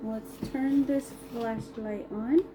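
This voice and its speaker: Female vlogger, casual review delivery, higher pitch, slow pace, noticeable background noise. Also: steady tone. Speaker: product reviewer. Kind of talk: casual unboxing review vlog. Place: upstairs room in a brick house. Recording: noisy home recording.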